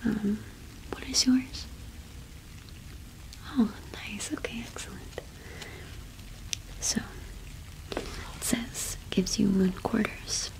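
Young woman, whispered ASMR roleplay, breathy, even pitch, gentle and unhurried. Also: poor audio. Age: young adult. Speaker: ASMR host playing a shopkeeper.

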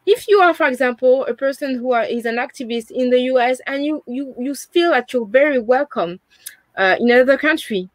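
A young woman with a French accent talks earnestly over a video call, her voice sitting a little high and sounding close and dry. She often restarts her phrases and fills them with "you know". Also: expressive, fairly quick, dry recording. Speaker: interview guest. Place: home room, indoors.

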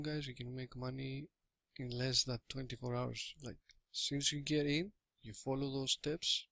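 Slow, halting Greek man with gravelly voice. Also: medium pitch, pushed and bright, no room sound. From Greece. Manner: hesitant, halting English with many fillers and restarts. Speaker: affiliate marketer giving a product review. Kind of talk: casual screen-recorded walkthrough. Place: small room indoors. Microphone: webcam or laptop mic.

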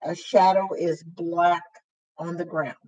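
An older woman teaching sign language, explaining signs slowly with a voice worn hoarse after surgery, filling pauses with ums. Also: on the low side, level tone, a little mellow, muffled recording. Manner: slow, halting, with frequent fillers while signing. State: voice weakened and hoarse after throat intubation. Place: small room with dark curtain backdrop, indoors.

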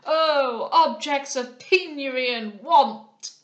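A woman voicing an old male moralist who denounces luxury in loud, theatrical declamation in an audiobook. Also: high-pitched, takes it slowly, reverberant, dull audio, voice pushed up. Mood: indignant, declaiming. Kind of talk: dramatised audiobook character voice.

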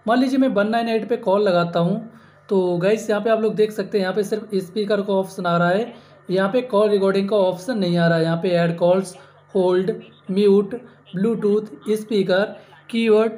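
A man's voice: high-pitched, fairly even intonation, brisk, darkish tone. A man presenting a step-by-step phone app tutorial, repeating himself and addressing viewers as friends. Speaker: host of a tech tutorial channel.

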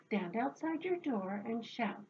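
Woman acting out storybook wise woman, slow, calm, knowing, measured advice, high voice. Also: lo-fi sound, a little hiss or hum. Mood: advising. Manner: measured, instructing.

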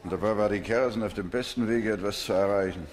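A man's voice: on the low side, fast, a little hiss or hum, natural voice. An actor playing a grumbling male colleague in a German radio play. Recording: busy crowd murmur behind.

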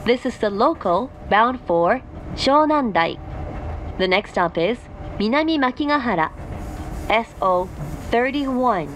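Female onboard announcer, fairly high voice, very slow and formal, recorded amid clear carriage noise. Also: expressive. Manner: formal, measured. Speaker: English-language train announcer. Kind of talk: scripted onboard train announcement. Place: inside a moving train carriage. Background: train rumble.

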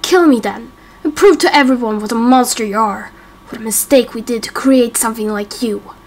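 A woman's voice: on the high side, moderate pace, a little mellow. A woman playing a defiant, hateful captive villain in a game roleplay, accusing and spiteful, with some background noise. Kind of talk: amateur game roleplay acting.

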